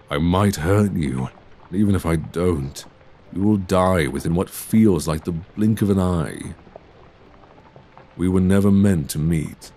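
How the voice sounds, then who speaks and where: Give this man acting as a young Victorian vampire a very low, breathy voice. He is courtly and remorseful, choosing formal, old-fashioned words in measured phrases. It is intimate ASMR drama, spoken close to a binaural mic. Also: mellow, heavily acted. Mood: sorrowful, regretful. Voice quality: very deep, breathy, husky. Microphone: close binaural microphone.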